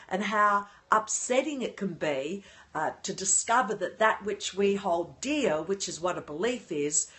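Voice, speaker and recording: An older woman hosting a casual livestream from her apartment on her phone, often pausing to greet and thank viewers. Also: mid-range voice, a bit bright. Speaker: livestream host. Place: at home in an apartment.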